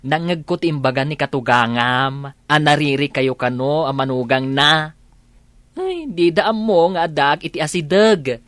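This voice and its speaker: A man's voice: a little high, a little slow, a bit bright, no echo. An actor with an Ilocano Filipino accent playing a catty, brash, effeminate male gossip in a comedy radio drama. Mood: taunting.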